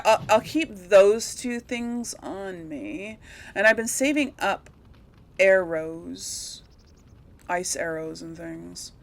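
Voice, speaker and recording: A woman's voice: on the low side, creaky. A woman hosting a gameplay video in casual commentary, rambling and thinking aloud as she repeats herself.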